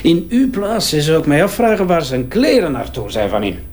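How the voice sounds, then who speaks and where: Sardonic Flemish forensics man, higher-pitched. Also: big pitch swings, moderate pace, a little mellow. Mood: busy, matter-of-fact. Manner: wry, bantering, hesitating as he examines. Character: talkative, sardonic male crime-scene forensic investigator. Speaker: forensic investigator. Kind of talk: naturalistic audio crime drama acting.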